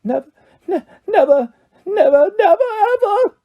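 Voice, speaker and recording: A reader voicing a small, servile male house elf with a high, squeaky voice who talks about himself in the third person. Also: expressive, moderate pace, vocal fry, husky, muffled recording, heavily acted. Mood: wailing. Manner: speaks of himself in third person, adds "sir".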